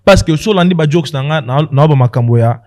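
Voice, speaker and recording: Fast-talking Congolese man, mellow and close. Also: fairly high pitch, no echo. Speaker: stand-up comedian guest. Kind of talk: casual podcast chat, switching between languages.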